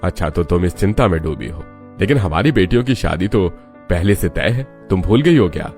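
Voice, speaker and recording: A man performs a middle-aged father in a moral storybook tale. He is calm, indulgent and principled, reasoning without hurry in a deep voice. Soft music runs underneath. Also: moderate pace. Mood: reassuring. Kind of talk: Hindi moral story voice acting.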